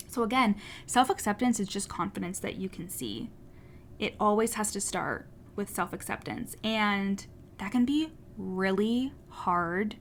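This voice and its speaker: A woman's voice: mid-range voice, slight background noise. Candid woman coach with a stutter. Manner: candid, swears casually, animated. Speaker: podcast host and coach. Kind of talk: casual solo podcast talk.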